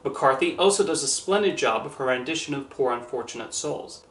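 A man in his thirties reads out a scripted review in an even, earnest manner. His voice sits at an ordinary middle pitch. The small room adds a noticeable echo around him. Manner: measured, earnest, carefully worded delivery. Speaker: movie reviewer. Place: small indoor room with posters and shelves. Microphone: camera at a few feet, standing.

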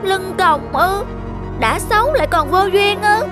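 Casting: mopey, self-pitying female shrimp, very high-pitched, children's cartoon with music. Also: expressive, unhurried. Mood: dejected. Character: insecure, mopey female shrimp with hunched back.

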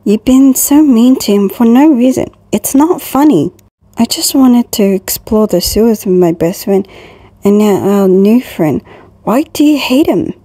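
A woman voicing a sweet, earnest young boy, a gentle peacemaker with a pleading, sincere manner, in a fan comic dub. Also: a little high, lively intonation, unhurried, no echo, clean studio sound. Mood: upset, pleading.

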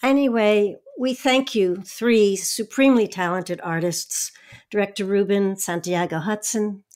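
A woman's voice: mid-range voice, unhurried, a little mellow. A woman hosting a radio show, giving thanks and reading sign-off credits in a presenter's style. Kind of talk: warm thanks and scripted credits read.

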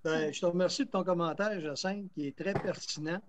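A man hosts the discussion and responds to a comment in a halting way that stumbles over words. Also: high voice, slight background noise. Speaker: host.

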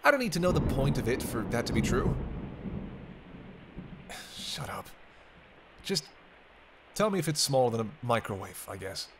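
A man's voice: medium pitch, creaky, slight background noise. A man playing a teasing, century-old vampire in an intimate roleplay audio drama, bantering wryly with hesitant asides. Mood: mildly exasperated. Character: teasing century-old male vampire, sardonic but soft-hearted.

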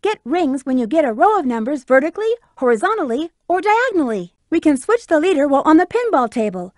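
A woman's voice: sits high, sing-song, fast talker, no room sound, clean studio sound. A woman voicing a sultry, sassy female bat treasure hunter in a video game, teasing, confident and flirtatious. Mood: instructive, confident. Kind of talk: video game character voice acting.

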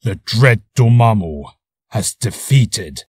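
A gloating dark deity played by a man declaims slowly with lively pitch. It is a grand, dreadful game villain, recorded dry. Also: slightly rough, no echo, exaggerated voice. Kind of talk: video game character voice reel.

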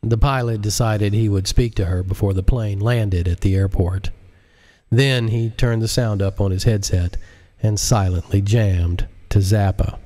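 A man's voice: lowish voice, dark tone. A man narrating his own audiobook on a live stream, with casual asides.

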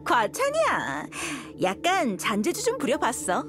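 Shrewd, high-voiced young mercenary woman. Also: wildly swooping pitch, measured pace, exaggerated voice. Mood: teasing. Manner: cool, teasing, self-assured. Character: confident, shrewd young female desert mercenary. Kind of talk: video game voice acting, story dialogue. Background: background music.

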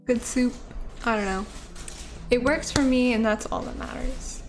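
A woman's voice: a little high, a little slow, soft background music. A young woman streaming from her living-room couch into a studio mic, rambling casually with lots of likes and ums. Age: young adult. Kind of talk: casual chat. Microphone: studio mic on a stand.

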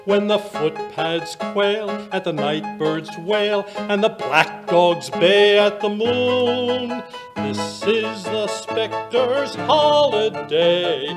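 A woman's voice: medium pitch, animated, drawn-out words, music in the background. A woman singing a spooky song in a theatrical style. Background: musical accompaniment.

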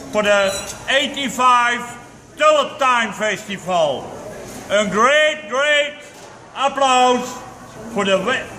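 A man's voice: very high voice, bright, forceful tone, a little hiss or hum. A man announcing at a festival event in a civic center. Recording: echoey hall.

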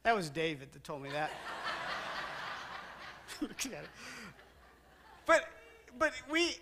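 A middle-aged man preaching a sermon in a church sanctuary, walking the stage, gesturing, and slowing down to stress repeated words. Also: on the high side, very animated, noisy background. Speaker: pastor.

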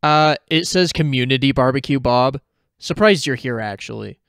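A man's voice: mid-range voice, lively intonation, normal speed, vocal fry, a bit bright, no room sound, clean studio sound, voice pushed up. A man voicing a smug middle-aged cartoon rival who talks in a smirking, taunting way.

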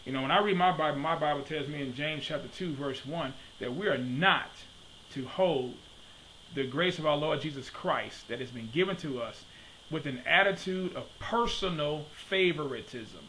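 A man's voice: a little high, moderate pace, pushed and bright, slight background noise, rough recording. A man in his thirties from Detroit, giving an emphatic preaching-style commentary to a webcam in his home study and repeating his questions for force. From Detroit, USA. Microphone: webcam at desk.

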